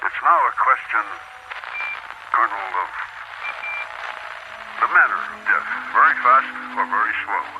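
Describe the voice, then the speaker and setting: An actor playing a grave, commanding general in a radio drama, speaking formally and with measured authority over a radio transmission. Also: male voice, fairly low pitch, lively intonation, dull audio, background noise. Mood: grave, resigned. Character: grave, commanding military general, resigned and regretful.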